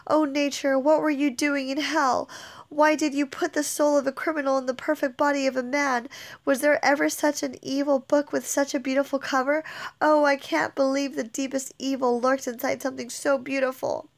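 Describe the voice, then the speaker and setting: A woman's voice: high voice, little pitch movement, normal speed. A woman reading a play aloud and voicing Juliet, a passionate young bride torn by grief, in an emotional, declamatory manner. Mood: anguished. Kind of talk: dramatic reading of a modernized play.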